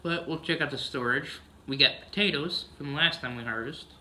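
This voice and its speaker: A man's voice: on the high side, brisk, sharp tone. A man casually narrating a farming video game, humming "mm-hmm" between steps, recorded on a camera across a room. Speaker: gameplay narrator.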